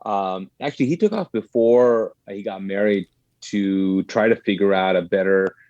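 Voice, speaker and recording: Low, mellow middle-aged man telling his story. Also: normal speed, dry recording, muffled recording. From Kuwait. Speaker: podcast guest. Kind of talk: conversational personal storytelling.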